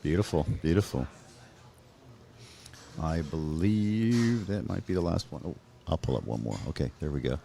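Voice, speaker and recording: A man's voice: a bass voice, lively intonation, moderate pace, gravelly, long pauses, mellow. A man hosting a casual podcast interview, laid-back and full of easy affirmations like okay and beautiful. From Mexican family, raised in California. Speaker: podcast host. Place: bar venue, live stream.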